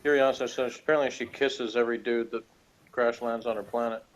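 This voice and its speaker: A man's voice: mid-range voice, steady tone, fast. A man co-hosting a podcast, giving casual, joking and sarcastic commentary on a TV episode. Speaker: podcast co-host. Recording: dull lo-fi recording.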